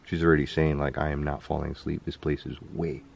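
A man in his thirties hosting a vlog, chatting to the camera and reading off viewer names while exploring a dark abandoned stadium at night. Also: a bass voice, level tone, fast talker, gravelly, husky. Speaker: YouTube host. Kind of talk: casual vlog narration. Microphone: handheld camera held close.

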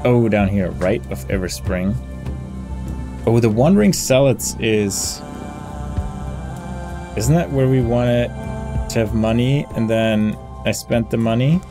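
A man's voice: mid-range voice, slow pace, long pauses. A man giving casual gameplay commentary, thinking aloud and muttering through options as he reads game text. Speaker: gamer hosting a playthrough. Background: game music.

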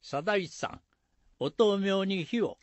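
High-voiced, unhurried genial village headman. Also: no room sound. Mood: easygoing. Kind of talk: radio drama acting.

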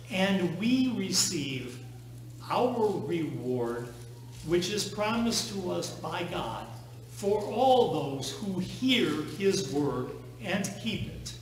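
A priest in his thirties preaches at an unhurried, halting pace, his voice sitting a little high. He is recorded from far across a large church, and the sound rings with strong reverb over a faint hum. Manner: measured preaching with slow, deliberate pauses. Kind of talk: sermon. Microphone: far camera.

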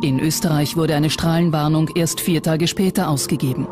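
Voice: medium pitch, steady tone, normal speed. A documentary narrator reading a scripted narration. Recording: music behind the voice. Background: background music.

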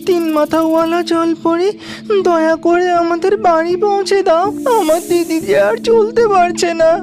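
Very high-pitched young snake boy, woman acting. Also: fairly even intonation, unhurried, over music. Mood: pleading. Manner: earnest, urgent pleading. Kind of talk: cartoon fairy tale voice acting.

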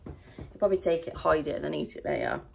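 Young woman vlogger with a deep, gravelly, fry-heavy voice, rambling chat, faint hiss behind. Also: huge pitch swings, quick pace. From United Kingdom. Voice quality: low, gravelly voice. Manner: chatty and rambling, with many ums and likes. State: dry throat. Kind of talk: casual grocery haul chat. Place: at home.

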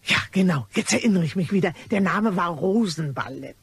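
An actress playing a chatty, nosy French cleaning lady in a radio crime play, rambling and exclaiming with a husky voice. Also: fairly low pitch, expressive, a little fast, no room sound, exaggerated voice. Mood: eager gossiping. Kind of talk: radio crime drama acting.

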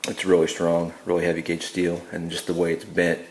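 A man giving a relaxed, plain-spoken DIY walkthrough in a garage, with lots of ums and uhs, recorded on a handheld camera. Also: deep voice, brisk, echoey room. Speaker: DIY builder showing his work. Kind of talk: casual how-to walkthrough. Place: indoor garage.

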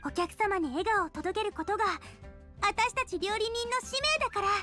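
A woman voicing an earnest, soft-hearted teenage girl cook in a Japanese anime-style game. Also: very high-pitched, lively intonation, normal speed, soft background music, full character voice. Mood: earnest, resolved.

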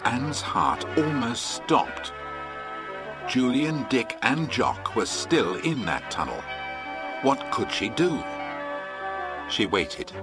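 A man's voice: medium pitch, animated, takes it slowly, pauses often. A man with an English accent narrating a children's adventure story dramatically from a script. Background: loud music.

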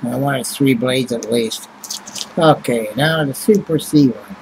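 A man's voice: medium pitch, a little slow, creaky, a little mellow, slight background noise, poor audio. A man, rambling and swearing casually as he reads prices off a receipt into a webcam at home. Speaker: vlogger. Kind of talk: casual chat. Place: small home room indoors.

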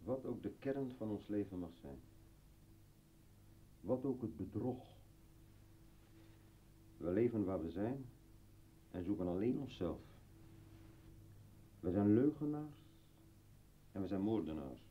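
An actor playing a brooding, philosophical old man with bitter irony in a declamatory, halting radio play monologue. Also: on the low side, lively intonation, a little hiss or hum. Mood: somber, reflective. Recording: dull vintage radio drama recording.

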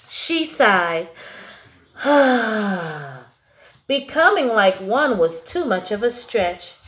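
A young woman reading a children's picture book aloud in an animated, emphatic way, as a teacher would to small children. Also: on the high side, echoey room, slight background noise. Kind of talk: expressive children's storybook read-aloud. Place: small classroom-like room, indoors.

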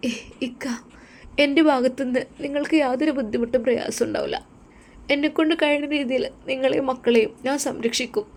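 A woman's voice: high-pitched, brisk, gravelly, pitched above the performer's own voice. A woman voicing a devoted young wife who speaks in a sweet, earnest and pleading way.

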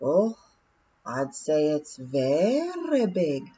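A woman voicing a pompous, long-winded male pixie, measured and drawn-out. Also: low voice, huge pitch swings, warm tone, slight background noise, exaggerated voice. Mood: ponderous. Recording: dull lo-fi audiobook recording.

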